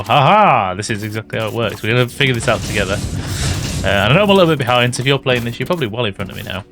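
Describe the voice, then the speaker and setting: A British man with a slightly raspy voice, thinking aloud and correcting himself as he casually commentates a building game. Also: fairly low pitch, a bit bright. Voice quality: slightly raspy from early morning. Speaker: gaming YouTuber. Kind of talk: casual let's-play commentary.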